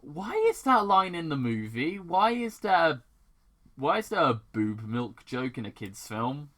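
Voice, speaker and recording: A man reading a story aloud as an amateur narrator, halting and stumbling over his words, with casual asides. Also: a little high, very animated, pushed and bright. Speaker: reader of fan fiction.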